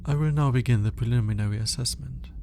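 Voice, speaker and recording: A man playing a cold, condescending alien scientist in an ASMR roleplay, speaking in a clinical, formal, deliberate way. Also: mid-range voice, creaky, darkish tone, slight background noise, natural voice. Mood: clinical, musing. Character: cold, aloof male alien scientist studying a human captive.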